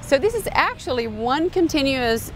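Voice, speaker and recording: A woman's voice: high-pitched, sing-song, moderate pace, a little hiss or hum, low-quality recording. A woman from Finland, an artist and professor, explaining her work in an outdoor interview in a city park. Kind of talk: on-camera interview.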